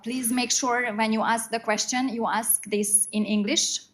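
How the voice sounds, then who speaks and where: A woman's voice: a little high, steady tone, measured pace. A woman event host, a native Hungarian speaker, giving spontaneous instructions to an audience with some hesitancy.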